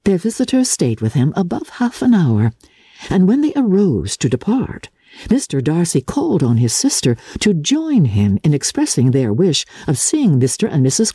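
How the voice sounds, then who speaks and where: A woman narrating classic fiction in a theatrical, measured and deliberate literary style, with a husky voice. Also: low voice, dark tone, no echo, clean studio sound. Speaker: audiobook narrator.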